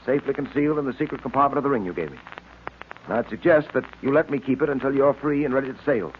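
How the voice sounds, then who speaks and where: A man's voice: medium pitch, fast talker, natural voice. A man playing an upright, earnest police detective in old radio drama style, speaking in a firm, clipped, fatherly way. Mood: brisk, resolute. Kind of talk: 1940s radio acting. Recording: tinny noisy old radio recording.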